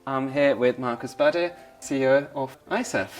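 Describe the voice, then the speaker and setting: A man's voice: medium pitch, moderate pace, soft background music. A man hosting a podcast, asking his guest short questions and prompting him along. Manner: brief prompting questions and soft acknowledgements. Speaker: podcast host. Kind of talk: interviewing a guest.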